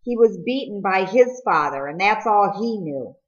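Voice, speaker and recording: A woman voicing an earnest, remorseful teenage boy in a storybook, confessing in a serious, halting manner. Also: mid-range voice, animated, muffled recording, close to an everyday voice.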